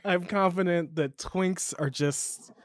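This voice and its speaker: A man from Chicago hosting a casual podcast chat, animated and swearing, his voice leaping high when riled. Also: slow pace, no room sound, clean studio sound. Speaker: podcast host.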